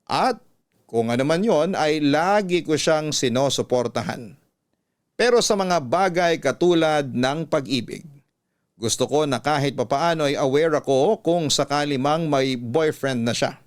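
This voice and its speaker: A man with a Filipino accent reading a listener's letter as a scripted, measured and earnest radio story. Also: fairly high pitch.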